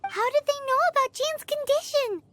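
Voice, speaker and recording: Tiny curious fairy companion, very high and bouncy, game score behind. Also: female voice, normal speed, heavy background noise. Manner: chirpy, speaks of herself in third person. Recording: game audio with clear music.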